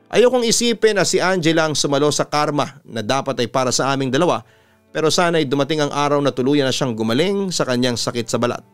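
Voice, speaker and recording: A man hosts a storytelling program with a smooth, resonant voice. He reads listeners' letters earnestly and with drama, like a practised radio announcer. Soft music plays beneath him. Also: on the high side, expressive, quick pace. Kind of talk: dramatic letter reading and heartfelt narration. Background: music under the voice.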